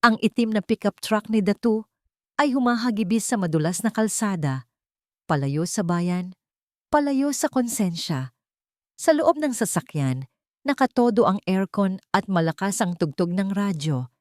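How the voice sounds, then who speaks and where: A woman narrating a dramatic story with steady scripted delivery. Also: mid-range voice, a little slow, no room sound, clean studio sound.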